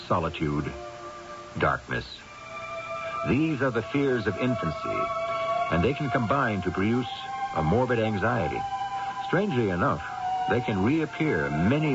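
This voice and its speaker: Guy with a lowish voice doing dramatic suspense narration, old muffled radio sound, music loud behind. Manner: measured, dramatic, pausing between phrases. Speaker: host and narrator of a radio mystery series. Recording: dull old radio broadcast recording.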